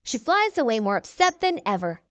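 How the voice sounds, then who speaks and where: A woman reading a children's storybook aloud in animated narration. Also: on the high side, fairly quick, no room sound.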